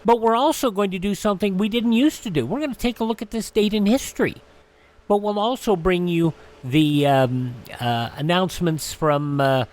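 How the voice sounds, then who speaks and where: A man hosting a radio program in a conversational, somewhat halting and rambling way. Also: high-pitched, a little mellow. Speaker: radio show host.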